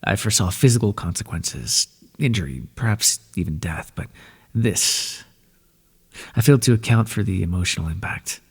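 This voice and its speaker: A man voicing an ancient god of the underworld in an ASMR roleplay, speaking in a soft, breathy, measured way and reassuring the listener tenderly. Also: sits low, expressive, big-room sound. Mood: gentle, soothing.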